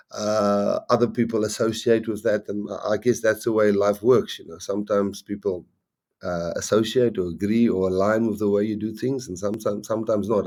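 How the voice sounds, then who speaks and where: A man's voice: lowish voice, darkish tone. A middle-aged man with a strong Afrikaans accent, a rugby coach chatting casually on a live stream, rambling and restarting his sentences often. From South Africa. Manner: rambling, halting, frequent restarts.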